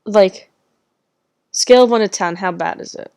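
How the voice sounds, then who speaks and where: A chatty woman cohosts a podcast over a headset mic from home. Her voice is smooth and clear, and the sound is dry and close. She talks casually and drops the odd curse. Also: medium pitch, normal speed, darkish tone, no room sound. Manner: chatty, swears casually, explains tech setup. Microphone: headset microphone.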